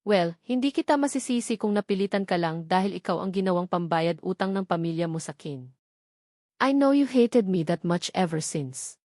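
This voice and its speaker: A woman narrator plays a possessive but gentle billionaire man in a romance audiobook. He is soft-spoken, serious and regretful, with a Filipino accent, mixing two languages. The sound is dry and close. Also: fairly low pitch, expressive, moderate pace, no echo, close to an everyday voice. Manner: soft-spoken, earnest, code-switching between two languages.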